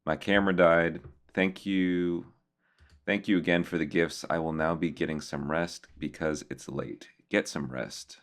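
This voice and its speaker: Very deep-voiced man reacting casually. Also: fairly even intonation, a little slow. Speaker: host reacting to horror videos.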